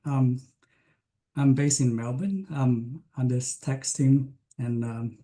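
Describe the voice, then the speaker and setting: A man from Malaysia giving an online science lecture, speaking with frequent ums, pauses and restarts. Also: medium pitch, warm tone. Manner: hesitant, many ums and restarts.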